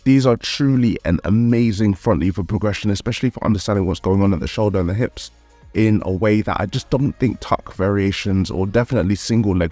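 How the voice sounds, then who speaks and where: Male fitness vlogger, lowish pitch, slightly nasal, candid and upbeat voice-over, dry close mic with quiet music. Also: no room sound. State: nasal, recovering from a cold. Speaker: calisthenics vlogger. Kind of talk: voice-over vlog narration.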